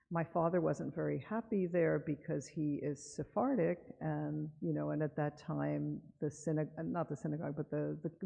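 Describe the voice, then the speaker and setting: A woman from Cuba giving an unhurried, conversational author talk in a synagogue chapel, reading a poem aloud and often pausing with fillers. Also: sits low, gravelly, warm tone. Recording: dull live broadcast with long reverb.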